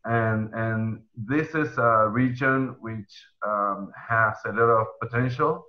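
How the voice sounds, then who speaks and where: Young Argentine man, Spanish accent, low-pitched, halting panel host, muffled call audio. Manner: hesitant, many ums and restarts. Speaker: panel moderator, HR consultant. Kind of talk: webinar panel introduction. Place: indoor room at home. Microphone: headset earphones on video call.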